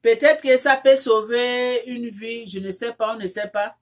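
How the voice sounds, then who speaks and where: A woman leading a heartfelt prayer and appeal to her online audience, in French that is not her first language. Her voice sits a little high, and she circles back over the same words with fillers and restarts. As the prayer builds, she grows loud and insistent. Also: fairly even intonation, measured pace. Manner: repetitive, fervent, with many restarts and fillers; rises into loud insistent pleading when praying. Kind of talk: informal prayer and appeal to viewers.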